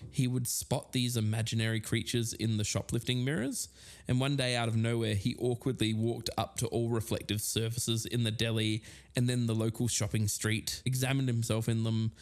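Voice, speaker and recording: A man's voice: medium pitch, fairly even intonation, moderate pace, natural voice. A man playing an amiable podcast co-host, telling eerie mysteries in a conversational documentary style. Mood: matter-of-fact.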